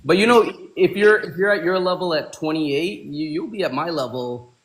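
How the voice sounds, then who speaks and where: A man's voice: on the high side, normal speed, fairly bright tone. Man in his 40s from pakistan, chatty livestream host, bro this my man that, echoey room. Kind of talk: casual livestream chat. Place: room at home.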